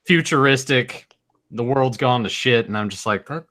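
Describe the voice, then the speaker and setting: A man's voice: mid-range voice, moderate pace, a bit bright. A man co-hosting a podcast, chatting casually about movies in a blunt way with plenty of swearing. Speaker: podcast co-host.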